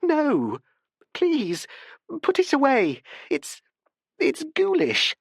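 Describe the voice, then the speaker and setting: A man reading an audiobook, voicing a nervous, agitated young woman who speaks in a high, hurried way. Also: wildly swooping pitch, a bit bright, no room sound, clean studio sound, heavily acted. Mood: upset.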